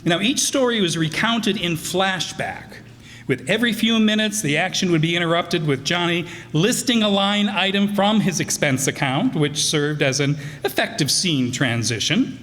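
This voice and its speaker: A man's voice: sits high, animated, moderate pace, fairly bright tone. Man hosting in an echoey hall. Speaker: host introducing a radio players' show. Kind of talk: informal spoken introduction to a live audience. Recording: echoey hall, live stage.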